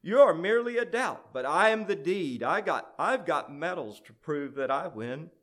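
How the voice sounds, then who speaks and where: A man's voice: a little high, lively intonation, normal speed, fairly bright tone, pitched above the performer's own voice. A man playing a boastful, scornful, grinning demon in a dramatic verse recitation. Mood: gloating.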